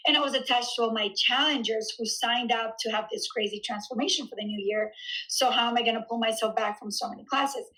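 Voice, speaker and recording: A woman in her thirties talks through her story in a relaxed interview, a little high in pitch. Her voice is very bright and forward, and she keeps it animated with fillers and quick laughs. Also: poor audio. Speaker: fitness studio founder and trainer, podcast guest. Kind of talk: casual conversational interview.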